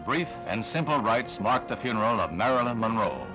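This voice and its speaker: A man's voice: fairly low pitch, lively intonation, a little fast, rough recording. Formal man narrating a 1960s newsreel over music. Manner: solemn, formal announcer delivery. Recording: vintage newsreel soundtrack with music. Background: background music.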